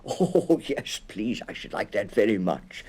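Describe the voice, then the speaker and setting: A man voicing a small, polite young bear, earnest and proudly dignified, with a small clear voice, in a children's audiobook. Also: lowish voice, sing-song, unhurried, a little mellow, heavily acted. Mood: polite, eager.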